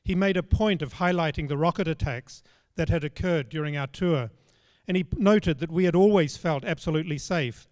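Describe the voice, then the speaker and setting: Older male preacher, slightly high voice, measured and plain, close mic with long hall reverb. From Australia. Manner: measured, storytelling, plain spoken. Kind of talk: sermon. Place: large church stage. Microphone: handheld microphone.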